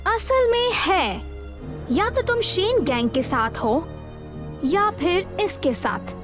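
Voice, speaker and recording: A woman's voice: very high voice, very animated, slow, over music, heavily acted. A woman voicing an outspoken, concerned teenage girl in a cartoon dub, with a direct manner. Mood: challenging. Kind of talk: animated cartoon dubbing.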